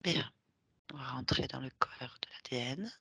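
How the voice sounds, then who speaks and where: A woman hypnotherapist guiding a session, asking short patient questions softly, often in a whisper. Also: extremely low pitch, normal speed, vocal fry, slightly rough, no echo, studio quality.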